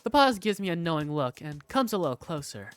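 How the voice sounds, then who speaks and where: A narrator reading first-person scripted visual novel story text in an expressive storytelling manner. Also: mid-range voice, a little fast, faint music behind. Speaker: narrator and lead character.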